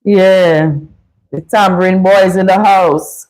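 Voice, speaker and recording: A woman from Saint Vincent with a Vincentian accent, hosting a casual live chat, easygoing and quick to laugh. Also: mid-range voice, steady tone, darkish tone, rough recording. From Saint Vincent and the Grenadines. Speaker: live stream host.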